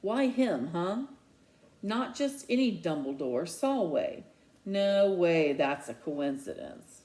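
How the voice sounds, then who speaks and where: A man's voice: high voice, animated, slow, darkish tone, exaggerated voice. A woman voicing a resentful, sarcastic middle-school boy in a children's novel read aloud. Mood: suspicious, demanding. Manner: indignant, sarcastic.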